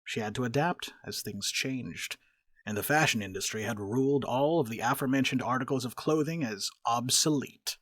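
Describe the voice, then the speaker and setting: A man narrating a dramatic reading as a storyteller, with expressive, scripted delivery. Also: mid-range voice, normal speed, no echo, clean studio sound.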